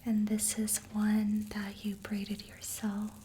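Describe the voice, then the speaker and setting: A woman whispering softly and slowly as an ASMR host, guiding a relaxing session. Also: medium pitch, monotone, slight background noise. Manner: soft whispering, slow and soothing.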